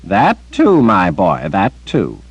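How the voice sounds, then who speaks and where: A man voicing an elderly male wizard, a fretful, warm grandfather, with a high, quavering old voice. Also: huge pitch swings, slow pace, gravelly, breathy edge, no room sound, heavily acted. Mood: earnest.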